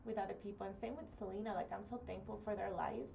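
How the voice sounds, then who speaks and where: A woman's voice: medium pitch, fast talker, creaky, mellow, slight background noise. A young woman from Argentina, a singer guest, talking earnestly and giggling now and then in a casual interview. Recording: distant dull lo-fi studio mic.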